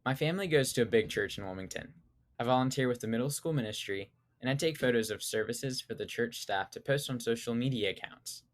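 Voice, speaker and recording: A teenage young man reads his own essay aloud with a steady, earnest delivery. His voice sits at an ordinary middle pitch, and he grew up speaking Spanish at home. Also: a little fast. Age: teens. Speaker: student essayist. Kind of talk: scripted personal essay reading.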